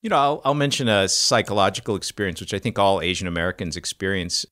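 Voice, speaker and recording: A man's voice: deep voice, lively intonation, fast talker, a bit bright. A man co-hosting a podcast in casual conversation, chatty and self-mocking. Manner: chatty, laughs at himself.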